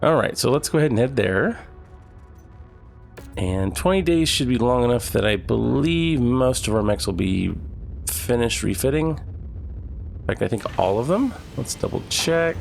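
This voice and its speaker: A man's voice: mid-range voice, moderate pace, vocal fry, soft background music. A man with a cold, sounding nasal, casually commenting on his gameplay. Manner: relaxed, thinking aloud. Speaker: gaming channel host.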